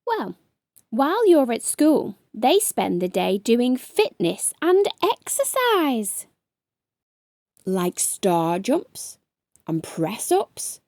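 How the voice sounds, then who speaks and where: Woman playing a kindly storybook dad, unhurried. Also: a little high, wildly swooping pitch, dry recording, clean studio sound, full character voice. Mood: patiently explaining. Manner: gentle, patient explaining. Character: kindly, reassuring father of a young boy.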